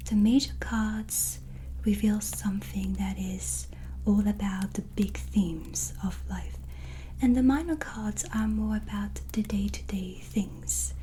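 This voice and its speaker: Slow, whispery woman in soft-spoken ASMR. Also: mid-range voice, slight background noise, low-quality recording. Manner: slow, gentle, soothing, with pauses. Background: soft relaxing music.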